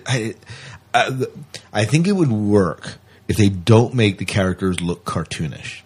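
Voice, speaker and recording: A man's voice: on the low side, expressive, unhurried, darkish tone, husky. A man co-hosting a podcast, chatting casually about sci-fi news. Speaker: podcast co-host.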